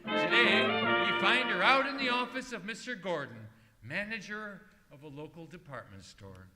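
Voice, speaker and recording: A man's voice: high-pitched, animated, normal speed. A man announcing an old-time radio comedy show in scripted narration. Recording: echoey hall with loud music. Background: music.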